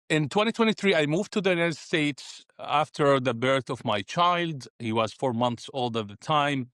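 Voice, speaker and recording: A man from Jordan explaining things as an interview guest, in measured short phrases with pauses. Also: medium pitch, a bit bright, no echo, studio quality. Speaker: podcast guest, author.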